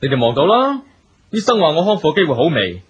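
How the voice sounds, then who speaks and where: An actor playing a bitter, suspicious eldest brother, a wheelchair-bound company boss, scornful and domineering in a radio drama. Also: male voice, a little high, big pitch swings, brisk, husky, exaggerated voice. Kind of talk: Cantonese radio drama acting. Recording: dull radio drama recording, music behind.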